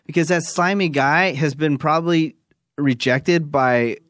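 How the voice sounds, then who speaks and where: A man's voice: fairly high pitch, measured pace, fairly bright tone, dry recording. A man in his thirties co-hosting a podcast, talking in a laid-back, casual way and saying "you know" often. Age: 30s. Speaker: podcast co-host. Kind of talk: casual advice chat.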